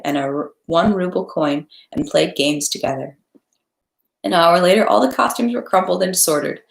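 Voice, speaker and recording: A woman's voice: lowish voice, vocal fry, echoey room, poor audio. A woman hosting an informal read-aloud, chatting casually and narrating a story expressively. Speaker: host reading a novel aloud for online viewers.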